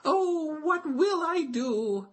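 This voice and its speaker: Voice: sits high, animated, extremely slow, voice pushed up, exaggerated voice. A storyteller voicing a fretful little male turtle in a children's storybook, wailing and pleading in an exaggerated way. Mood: anxious wailing.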